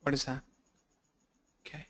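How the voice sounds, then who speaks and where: Stuffy-nosed man teaching a class. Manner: halting, restarts and fillers, walks through screens. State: blocked nose from a cold. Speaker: instructor. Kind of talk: live online training lesson.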